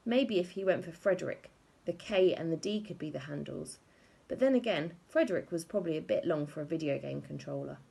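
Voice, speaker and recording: A woman reads a children's story expressively. Her voice is lowish and mellow, with a gravelly creak as she narrates. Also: expressive, a little fast. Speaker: audiobook reader.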